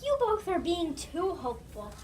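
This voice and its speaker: Kid reading a play part out loud, high voice, kinda far from mic. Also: very animated. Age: child. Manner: scripted, deliberate recital. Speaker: student reader. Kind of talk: reader's theater reading aloud. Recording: distant mic, noisy room ambience.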